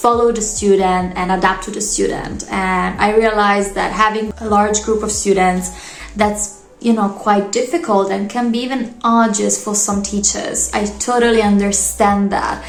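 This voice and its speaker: A young woman with an Italian accent, a bilingual English teacher, chatting in an earnest, casual way to her vlog audience. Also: mid-range voice. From Italy. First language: Italian and Polish. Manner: chatty, earnest, fond of asides like "you know". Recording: echoey room.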